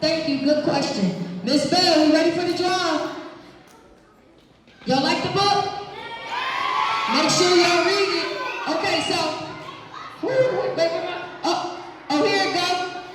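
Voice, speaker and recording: Female children's author, high voice, slangy and direct with pupils, recorded at a distance in a reverberant, noisy school hall. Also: slow pace, long pauses. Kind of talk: live question-and-answer talk to schoolchildren. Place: large echoing room in a school. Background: children's crowd noise.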